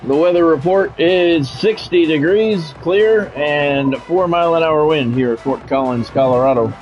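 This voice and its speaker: A man's voice: fairly high pitch, unhurried, dull audio, faint music behind. A man giving casual live video game commentary with sportscast touches, talking conversationally and thinking aloud. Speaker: video game streamer and play-by-play announcer.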